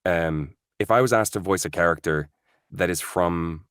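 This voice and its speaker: A man's voice: sits low, moderate pace, fairly bright tone, smooth, dry recording, clean studio sound. A man from Norway, a voice actor guest, chatting in an interview, thoughtful and full of fillers and restarts. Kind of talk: casual interview chat.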